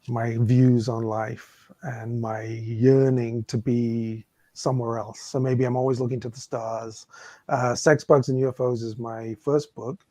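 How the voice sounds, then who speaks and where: A man from England, a guest author, speaking in a measured, thoughtful way. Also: mid-range voice, a little mellow, dry recording. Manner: measured, thoughtful, slow in places. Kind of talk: casual interview talk.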